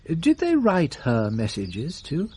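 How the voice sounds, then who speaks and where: A man's voice: on the high side, wildly swooping pitch, takes it slowly, a little mellow, breathy edge, full character voice. A man playing a shrewd detective in a radio drama, questioning in a probing, dryly sardonic way. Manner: probing, wry.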